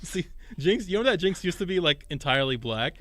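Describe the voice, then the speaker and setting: Young man, quick banter, dry sound. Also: on the high side, lively intonation, fairly bright tone, no room sound. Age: young adult. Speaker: podcast co-host. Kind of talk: casual banter. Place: college radio studio.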